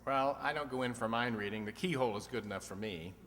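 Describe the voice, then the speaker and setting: Man playing a bright, wisecracking radio quiz host. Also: medium pitch, fast talker, close to an everyday voice. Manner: dry wisecracking, teasing questions. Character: wisecracking male quiz show host, sly and teasing. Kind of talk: comic quiz show banter, old-time radio re-enactment. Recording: long hall reverb.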